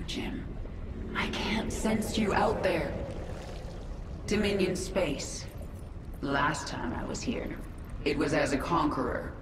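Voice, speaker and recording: An actress playing a commanding, brooding alien queen in a video game, speaking in a hushed, intense, resolute way. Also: sits low. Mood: brooding, longing. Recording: echoey, distant, noisy ambience.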